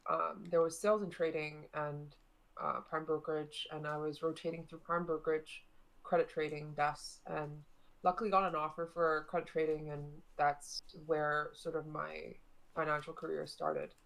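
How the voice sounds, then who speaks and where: A young woman from Hong Kong, a podcast guest, calmly recounting her background in a matter-of-fact way. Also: low-pitched, normal speed, vocal fry, rough recording. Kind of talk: interview answers.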